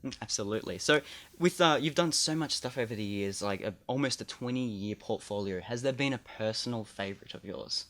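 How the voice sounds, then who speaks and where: An eager young male host asking a guest questions, agreeing readily and padding with fillers. His voice is of middle pitch for a man. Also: fairly quick, a bit bright. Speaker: show host, interviewer. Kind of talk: casual interview.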